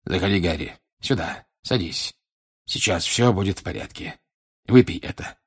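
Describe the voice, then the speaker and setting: A man acts out a grim older male wizard for an audiobook. The voice is low and husky, with deliberate, probing questions and an insistent menace. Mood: coaxing. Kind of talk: audiobook character acting.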